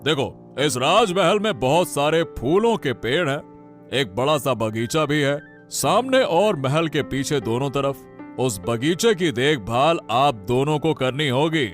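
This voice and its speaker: A man voicing a commanding, pompous king with a deliberate, authoritative manner in a Hindi cartoon story. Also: fairly high pitch, animated, faint music behind. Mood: smoothly commanding. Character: commanding, imperious king giving orders to subjects.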